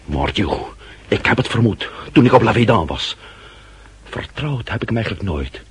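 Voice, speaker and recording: A proud French marquis in a historical radio play. His lowish voice is very husky and swings expressively, with an indignant aristocratic air. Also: expressive, normal speed, exaggerated voice. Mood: suspicious. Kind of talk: period radio drama acting.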